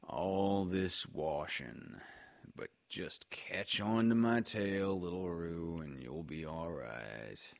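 A mournful old donkey, as a man puts him on grumbles in a slow, low, sinking voice. He sounds self-pitying and quiet, as in a storybook read aloud. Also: gravelly, no room sound, clean studio sound, exaggerated voice. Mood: gloomy.

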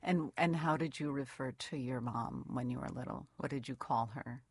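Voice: medium pitch, normal speed, husky. An interviewer asking questions, often stumbling and repeating words at the start.

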